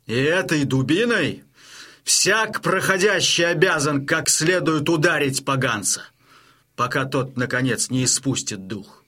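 An actor playing a stern but hearty Cossack chieftain in an audio drama, with a rough voice and a weighty, commanding manner. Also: male voice, fairly high pitch, animated, measured pace, pushed and bright, full character voice. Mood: stern, ceremonious.

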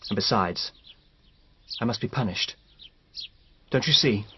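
An actor playing a resentful, unstable young husband in a radio mystery, intense and overwrought. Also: male voice, lowish voice, measured pace, dull audio, slight background noise, lightly acted. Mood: agitated, bitter. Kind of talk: BBC radio mystery drama acting.

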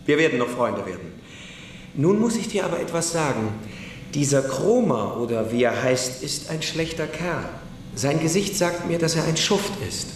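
A calm, knowing older schoolboy in a radio drama, persuasive and a little mysterious. His intonation moves widely, in an echoey room with faint noise. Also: on the high side. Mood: knowing, reassuring. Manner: deliberate, persuasive, quietly superior. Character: self-assured, precocious older schoolboy, calm, probing and mysterious. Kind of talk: radio play acting.

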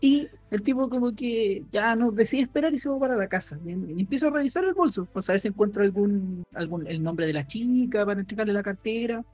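A man co-hosting a podcast, casually recommending a book in a halting way, full of fillers and restarts. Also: high voice, a little mellow, husky, muffled recording. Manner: halting, many fillers and restarts while explaining. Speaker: podcast co-host.

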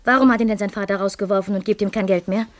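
An actress playing a bitter young wife with a sharp tongue and hidden passion in a German radio play. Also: female voice, medium pitch, steady tone, rapid-fire, natural voice. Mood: resentful.

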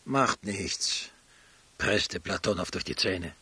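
An actor playing a weary, submissive prisoner who narrates with restraint and speaks in a German radio drama. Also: male voice, low-pitched, expressive, slow pace, bright, forceful tone, heavily acted. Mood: guarded, hesitant. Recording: voice over clear background music.

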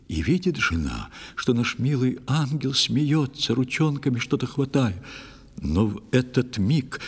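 An actor playing a sorrowful, pious old fisherman who tells his tale in expressive verse in an audio play. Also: mid-range voice, slightly rough. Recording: long studio reverb over music.

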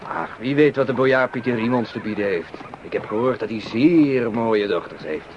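A man's voice: mid-range voice, expressive, normal speed, lightly acted. A man playing an easygoing, practical brother who loves his food, in an adventure radio play. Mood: relishing. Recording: noisy, muffled old radio play recording.

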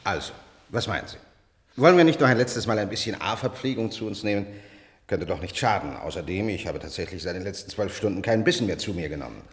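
An actor playing a genial, eccentric physics professor in a German radio play, jovial and coaxing, with hesitant asides. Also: male voice, fairly low pitch, expressive, quick pace. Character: genial, eccentric, unhurried male physics professor, Nobel laureate. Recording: reverberant hall with background noise.

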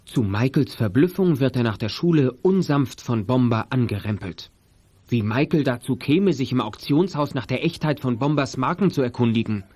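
A man's voice: medium pitch, expressive, moderate pace, darkish tone, close to an everyday voice. A man narrating a children's detective audio play in a scripted storytelling style.

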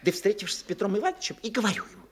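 A fussy middle-aged country landowner who rambles and stammers breathlessly. His pitch bounces all over the place as he piles up detail. A stage radio play reading. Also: sing-song, rapid-fire. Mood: eager.

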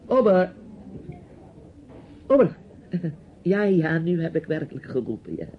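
A dull, hissy old radio play recording of a bossy older man. His pitch swings widely as he rambles, hesitates and gives orders in a nosy, theatrical way. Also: sing-song, measured pace. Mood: chatty, rambling. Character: chatty, bossy, nosy older relative with a niece. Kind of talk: radio drama acting.

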